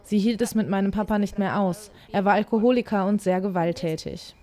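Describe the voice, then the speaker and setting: Female voice-over, mid pitch, quiet plain recounting. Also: a little fast. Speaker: voice-over translator. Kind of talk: voice-over dubbing in first person.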